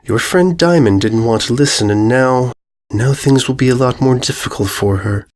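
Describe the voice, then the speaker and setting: A man voicing a calm, regal female pony ruler, gracious and condescending, with unfeeling menace, in a horror story. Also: medium pitch, moderate pace, natural voice. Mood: coolly menacing. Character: serene, regal mare ruler, politely sinister and unfeeling. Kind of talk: dramatized horror fan fiction reading.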